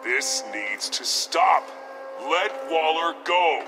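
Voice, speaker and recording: An actor voicing a stern, righteous masked vigilante in a video game, grave, commanding and restrained. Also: male voice, a little high, animated, slow pace. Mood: firm. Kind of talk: dramatic video game voice acting. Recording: loud music underneath.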